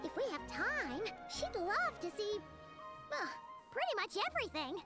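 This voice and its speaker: A woman voicing a tiny, excitable, childlike fairy companion in a video game, with a squeaky delivery. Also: moderate pace, full character voice. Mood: curious, eager. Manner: squeaky, refers to herself in third person. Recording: game audio with loud music.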